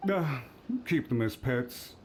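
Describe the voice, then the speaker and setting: A haughty clan official in a fantasy game, his pitch swinging widely over faint background noise. He is pompous and scheming, with formal, theatrical speech and a thin skin. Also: animated, slow pace, a little mellow. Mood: smug. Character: haughty, scheming male government official, easily riled. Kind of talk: scripted video game dialogue acting.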